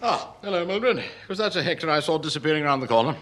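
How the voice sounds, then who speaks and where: A man's voice: fairly high pitch, animated, quick pace, noisy background, close to an everyday voice. A man playing a pompous, work-shy middle-aged civil servant, blustering and stammering with an English accent in a British radio sitcom. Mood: jovial.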